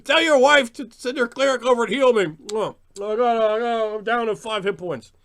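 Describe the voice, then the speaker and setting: A flustered gamer at the game table, mimicked by a man, whines for healing in halting everyday words. The voice is raised well up in pitch and sounds clumsy and out of character. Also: fairly bright tone. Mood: flustered, whiny. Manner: halting, flustered, mundane phrasing.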